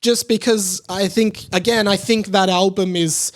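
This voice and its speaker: Male podcast host, young Australian, voice a little high, effusive and conversational. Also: steady tone, unhurried. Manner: enthusiastic, effusive. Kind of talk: casual solo podcast chat.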